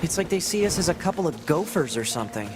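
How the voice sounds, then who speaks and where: A curious young male android scout for a video game. The voice is low, boyish and casual, with music playing behind it. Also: big pitch swings, fast. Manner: casual, youthful, chatty. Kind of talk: English video game voice acting.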